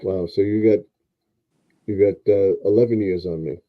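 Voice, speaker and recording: A man's voice: on the low side, steady tone, normal speed, phone-quality sound. A retired man in his seventies hosting a casual live stream, rambling with many fillers and restarts. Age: 70s.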